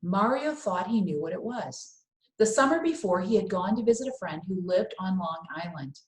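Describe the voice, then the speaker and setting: Female storyteller, mid pitch, reading children's fiction aloud with careful expression and pauses; echoey room recording. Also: animated. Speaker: read-aloud host. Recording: roomy, echoing indoor sound.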